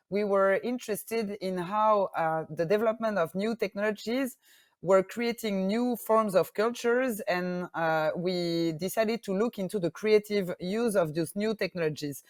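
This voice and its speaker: A woman's voice: medium pitch, no room sound. Woman with a Belgian French accent. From French-speaking Belgium. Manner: steady, with frequent fillers and restarts. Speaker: conference presenter. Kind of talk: presentation talk.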